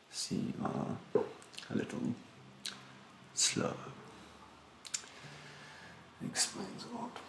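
A young man playing a rude, condescending facial therapist in an ASMR roleplay, speaking softly and breathily in a haughty, halting manner. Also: lowish voice, reverberant, slight background noise, heavily acted. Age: young adult. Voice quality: soft, breathy, partly whispered. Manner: haughty, halting, drawn-out delivery. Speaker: ASMR performer playing a beautician. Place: bedroom, indoors.

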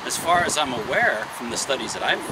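An older man, a passerby, calmly debates theology with a street preacher in a parking lot, often restarting his sentences. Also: mid-range voice, fairly quick, a loud crowd, poor audio. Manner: calm and conversational, with repeated restarts. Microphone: close to a tripod camera. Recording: loud wind noise on a camera mic.

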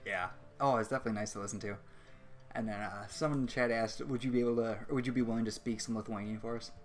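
A man's voice: medium pitch, fairly even intonation, fast, faint music behind. A young man hosting a casual stream interview, rambling and joking as he reads questions from chat.

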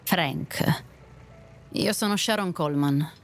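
An actress playing a bitter, cynical nightclub dancer in an audio drama, with a curt, blunt, sardonic manner. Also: female voice, deep voice, takes it slowly, faint music behind. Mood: sardonic, teasing. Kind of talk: Italian audio drama acting.

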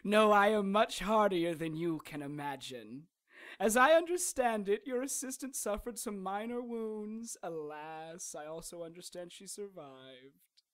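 An actor playing a sinister, gloating troll villain in a radio drama, mocking and courteous with drawn-out menace. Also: mid-range voice, expressive, a bit bright.

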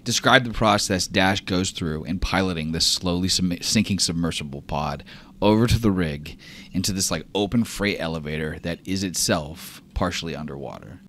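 A man's voice: low-pitched, normal speed, a bit bright. A man narrating as game master of a tabletop role-play, describing scenes casually.

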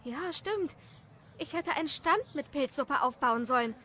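Woman acting a high-voiced, vain village wife. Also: expressive, unhurried, bright, forceful tone, a little hiss or hum, full character voice. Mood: dismissive. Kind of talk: dramatized audio story.

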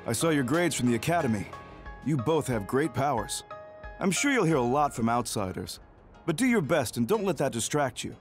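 An actor playing a kindly, courteous major general in an anime game, gracious and encouraging. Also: male voice, mid-range voice, lively intonation, moderate pace, soft background music, lightly acted. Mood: kindly, reassuring. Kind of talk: anime video game dub acting.

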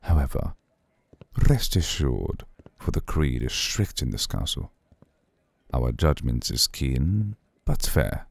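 An ancient vampire lord, acted by a man, speaks in an extremely low, husky rumble. He is slow, formal and seductive, with cool courtesy and a possessive edge. The ASMR roleplay is recorded dry and close. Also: big pitch swings, dry recording, heavily acted. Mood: soothing, seductive. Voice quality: very deep, gravelly.

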